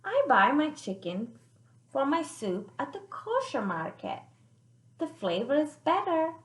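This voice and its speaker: Playful, teasing grandmother for a kids' storybook, voiced by a woman, emphatic and spirited, lifted pitch, echoey room. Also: very animated, unhurried. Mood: confiding. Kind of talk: children's storybook dialogue.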